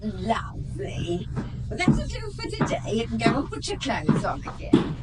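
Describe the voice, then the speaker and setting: An actress playing a bossy woman who coaches a nightclub dancer, giving coaxing and sharp instructions. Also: on the high side, wildly swooping pitch, normal speed, recorded from a distance, very noisy. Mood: instructing. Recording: loud music, voice off-mic.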